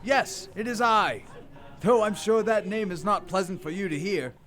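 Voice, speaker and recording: A man playing a reformed old miser in an audio drama, giddy, warm and exclamatory, often repeating himself. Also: sits high, moderate pace. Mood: joyful. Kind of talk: theatrical audio drama acting. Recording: music playing behind the voice.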